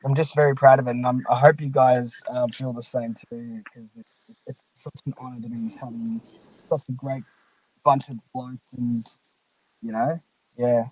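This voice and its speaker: A man hosting a casual livestream chat, speaking earnestly and haltingly and often repeating himself. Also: mid-range voice, steady tone, darkish tone. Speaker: podcast host and fan-dub organiser. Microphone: online voice call microphone. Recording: noisy mic with uneven volume and clicks.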